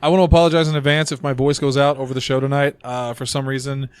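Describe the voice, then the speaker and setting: A man's voice: medium pitch, flat delivery, quick pace, smooth, no room sound. A man hosting a casual group podcast, rambling and joking while he is losing his voice. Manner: rambling, self-deprecating, joking. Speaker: podcast host. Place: small room around a table.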